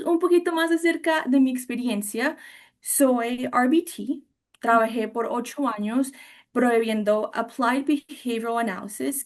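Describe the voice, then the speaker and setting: Guest, woman, 30s, Colombian accent, fairly high voice, eager and fluent. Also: darkish tone. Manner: eager, fluent, mixes English terms. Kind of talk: interview.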